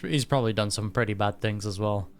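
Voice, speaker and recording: A man's voice: lowish voice, fast. A man giving casual reaction commentary on a game, informal and speculating aloud. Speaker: gaming reaction streamer. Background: game music.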